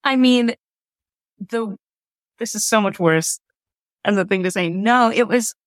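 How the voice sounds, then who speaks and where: A woman's voice: medium pitch, measured pace, dry recording. A woman chatting casually as an interview guest, candid and wry, often acting out imagined remarks to explain herself. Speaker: interview guest, audio drama creator. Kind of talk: casual chat.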